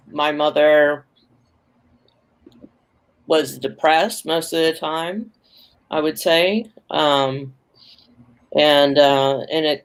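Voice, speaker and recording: A man speaks slowly and hesitantly, with many pauses. His voice sits fairly high for a man. He talks as a panelist in a casual discussion. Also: poor audio. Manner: hesitant, halting.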